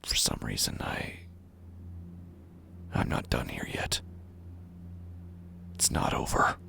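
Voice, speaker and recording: A man playing a cold, obsessive, violent burglar who tells his own horror story in an intense, deliberate first-person audio drama narration. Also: bright, forceful tone, in a whisper. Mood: tense, frozen.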